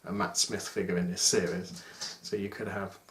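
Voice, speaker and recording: Man, 40s, low voice, informal hobbyist video presenter, roomy home recording with faint noise. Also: flat delivery, moderate pace. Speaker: toy collector presenting a video. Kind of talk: casual chat to camera about collectible figures. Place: small domestic room indoors. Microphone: camera microphone at about arm's length. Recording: echoey room with faint noise.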